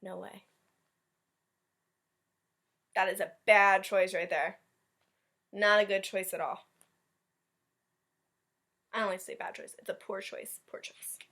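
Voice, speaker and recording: A woman's voice: mid-range voice, steady tone, slow pace, pauses often, fairly bright tone. A woman teacher leading a read-aloud storytime, chatting directly to young children and commenting as she goes. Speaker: elementary school teacher.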